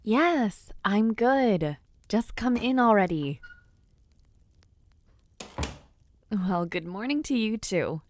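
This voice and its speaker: A woman playing a giddy, bashful young noblewoman in an intimate romance audio roleplay, affectionate and teasing, with soft hesitations. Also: mid-range voice, lively intonation, slow. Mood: bright, welcoming. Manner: soft hesitations, gentle teasing, shy giggles. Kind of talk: intimate scripted audio roleplay, speaking to the listener.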